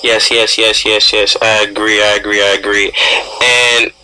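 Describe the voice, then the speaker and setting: A man's voice: lowish voice, little pitch movement, unhurried, sharp tone, a little hiss or hum, rough recording. A young American man co-hosting a casual radio interview, repeating words for emphasis. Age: young adult. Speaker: radio show co-host.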